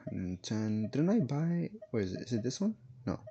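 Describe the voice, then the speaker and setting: A man's voice: mid-range voice, sing-song, moderate pace, dark tone, slightly rough, dull audio. A man casually chatting while streaming a game, rambling with lots of fillers and self-corrections. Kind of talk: casual gaming stream chat. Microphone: laptop streaming setup.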